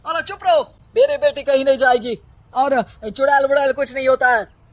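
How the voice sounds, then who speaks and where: A woman's voice: sits high, normal speed, no echo, close to an everyday voice, poor audio. Woman voicing a brusque village headman. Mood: angry. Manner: brusque, exclaiming. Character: self-important village headman.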